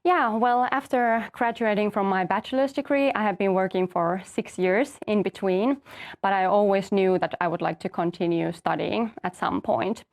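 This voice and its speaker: A young woman from Finland, a master's student, calmly explaining her path and the application steps in an info session. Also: medium pitch. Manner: orderly, explanatory, light fillers. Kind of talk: answering questions in an info session.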